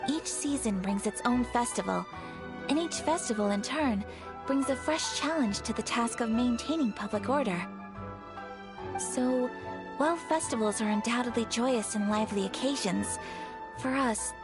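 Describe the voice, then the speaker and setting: A woman acts a refined young noblewoman in a video game. Her voice is high and gentle, her manner formal, courteous and dutiful. Music plays behind her. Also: natural voice.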